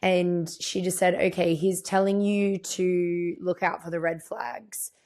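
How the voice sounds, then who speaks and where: A young woman with a croaky, partly lost voice, chatting reflectively as a podcast host and voicing her own thoughts as she tells a story. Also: fairly low pitch, fairly even intonation, slow pace, darkish tone. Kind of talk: casual storytelling monologue.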